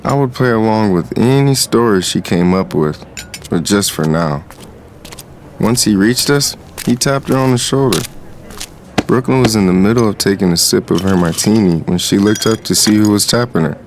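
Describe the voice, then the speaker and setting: Actor playing young male hustler, lowish voice, cocky, sly, casual, streetwise, audio drama narration. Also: normal speed, slight background noise, close to an everyday voice. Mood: smug, amused. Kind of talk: first-person dramatized story narration.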